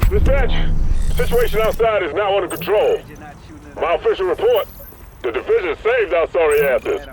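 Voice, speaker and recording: A man voicing a gruff, exasperated man in an embattled city in a video game, rough and blunt. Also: sits high, expressive, moderate pace, poor audio. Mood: relieved, dry. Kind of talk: video game action acting.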